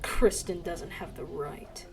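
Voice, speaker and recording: A woman acts out a disgraced male research director with a proud, calculating streak. The delivery is slow and measured, bitter yet persuasive. Faint dramatic music plays underneath. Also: lowish voice, fairly even intonation, gravelly, darkish tone. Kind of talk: dramatic fan-dub acting. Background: background music.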